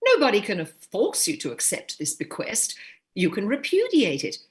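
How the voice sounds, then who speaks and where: An actress playing a composed, commanding upper-class older mother, firm and measured in a staged play reading. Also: mid-range voice, wildly swooping pitch. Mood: composed, practical.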